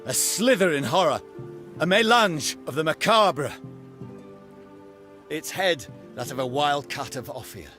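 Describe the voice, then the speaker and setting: An actor playing a zealous, chivalrous knight-errant in a game, declaiming pompously in archaic phrases. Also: male voice, a little high. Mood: relishing, disgusted. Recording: music under the voice.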